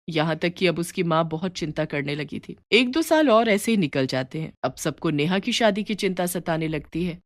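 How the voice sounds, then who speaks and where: A woman's voice: on the low side, dry recording, studio quality. A woman reading a scripted story as its narrator.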